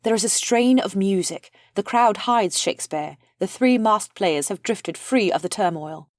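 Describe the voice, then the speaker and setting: A woman's voice: medium pitch, lively intonation, measured pace, no echo, clean studio sound. A woman reading the stage directions of a play as scripted narration.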